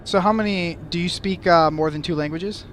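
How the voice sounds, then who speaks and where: A man's voice: high voice, brisk, a little hiss or hum. A young American man hosting a casual podcast chat, curious and thinking aloud with lots of "like". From United States. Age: young adult. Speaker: host.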